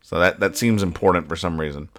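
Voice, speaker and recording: A man hosting a podcast, casually chatting through comic strips in a halting, rambling, joking way. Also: sits low, a crowd in the background. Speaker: podcast host. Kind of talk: casual chat, describing comic strips aloud.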